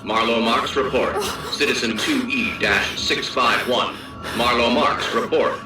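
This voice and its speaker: A male actor as a cold, impersonal official voice in a dystopian radio drama. It barks from far off with heavy echo, beneath loud music. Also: mid-range voice, fairly even intonation, a little slow, natural voice. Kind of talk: audio drama acting. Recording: echoey, distant, under loud music.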